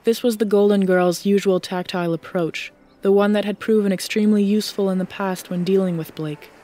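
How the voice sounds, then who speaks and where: A woman's voice: mid-range voice, fairly even intonation, fairly quick, warm tone. A woman reading scripted story narration as the narrator of an audiobook.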